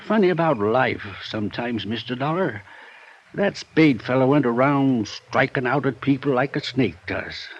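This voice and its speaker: A man's voice: mid-range voice, expressive, moderate pace, close to an everyday voice. An actor playing a folksy, shrewd frontier man with a drawl in an old radio drama. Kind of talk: 1950s radio acting. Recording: dull old radio transcription with hiss.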